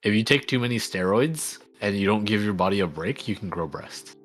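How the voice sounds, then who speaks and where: A man guesting on a casual podcast, teasing dryly and explaining things quickly and confidently. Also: fairly low pitch, expressive, soft background music. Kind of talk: casual chat.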